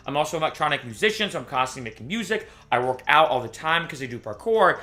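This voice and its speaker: A young man talking casually to camera in a vlog, chatty and animated, often restarting and correcting himself. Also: on the high side, fast talker. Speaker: YouTuber.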